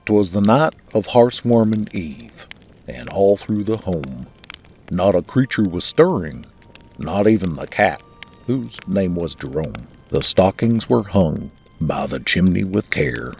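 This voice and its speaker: A deep, gravelly storyteller voiced by a man recites his holiday rhyme slowly and rhythmically. The voice is husky and rough-edged, with soft music underneath. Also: sing-song, full character voice. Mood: storytelling. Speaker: voice actor. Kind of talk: fan dub rhyming storybook narration.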